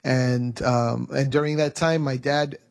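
A man talking through his family story in an earnest, halting way, with many restarts, as a guest on a radio interview. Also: medium pitch, fairly even intonation, vocal fry, a little mellow. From United States. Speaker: radio program host. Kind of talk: conversational interview.

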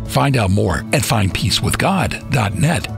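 A male announcer reads a radio promo with a lively, varied delivery. His voice is gravelly, and clear music plays under him. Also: fairly low pitch, unhurried.

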